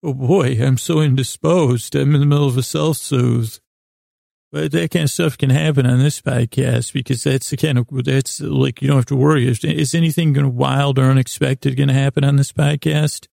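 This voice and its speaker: A man hosting a podcast with a whimsical, meandering bedtime monologue, halting and soothing as he goes. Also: medium pitch, fairly even intonation, gravelly, mellow, dry recording, studio quality. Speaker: podcast host.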